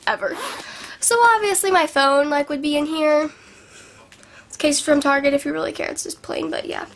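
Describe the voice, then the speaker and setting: A woman's voice: high-pitched, fairly even intonation, moderate pace, creaky, a little hiss or hum. A young woman vlogging from her bedroom, rambling in a bubbly way with lots of "like", sounding sick and sniffly. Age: young adult. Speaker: beauty vlogger. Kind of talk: casual chatty vlog. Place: small bedroom indoors. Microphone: camera in front of her at arm's length.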